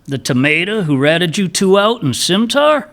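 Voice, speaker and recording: A man's voice: sits high, big pitch swings, normal speed, a bit bright, heavily acted. A man playing a cocky, wisecracking henchman in a radio play. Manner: cocky, joking.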